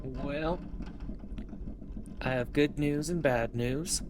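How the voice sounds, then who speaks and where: An actor playing a ship engineer who reports in an easygoing, matter-of-fact way, in a noisy audio drama recording. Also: male voice, on the high side, extremely slow.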